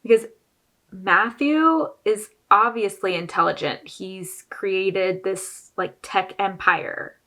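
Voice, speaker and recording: A woman's voice: mid-range voice, slow pace, sharp tone. A woman hosting a reaction video, giving casual, opinionated commentary on a TV show in a conversational way.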